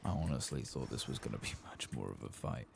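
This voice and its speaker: A man giving soft-spoken ASMR commentary over a video game, often slipping into whispers. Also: rumbling low, animated, fast, creaky, a little hiss or hum. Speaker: host of an ASMR game playthrough.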